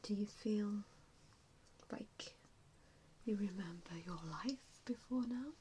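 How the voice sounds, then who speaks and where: A woman whispering an ASMR roleplay as a gentle vampire mentor, with a hesitant, reassuring and softly spoken manner. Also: mid-range voice, slow, a little hiss or hum. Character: gentle, self-doubting female vampire mentor to new recruits.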